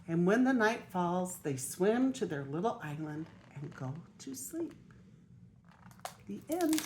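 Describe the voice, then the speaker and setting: An older woman, a grandmother, reading a children's picture book aloud in an expressive way. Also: on the low side, slow, a little hiss or hum. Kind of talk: storybook read-aloud.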